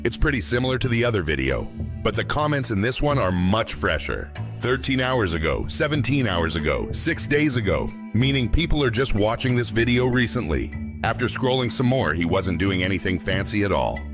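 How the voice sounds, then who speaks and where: A man's voice: fairly low pitch, big pitch swings, brisk, over music. A man narrating a reaction video in a wry storytelling manner. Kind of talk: scripted narration.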